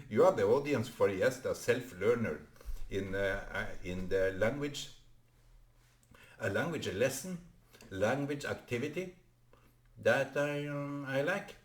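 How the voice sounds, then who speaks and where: A man whose first language is Norwegian, talking to camera in a halting vlog monologue full of fillers and restarts. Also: mid-range voice, lively intonation, echoey room. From Norway. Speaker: vlogger. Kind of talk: unscripted solo vlog monologue.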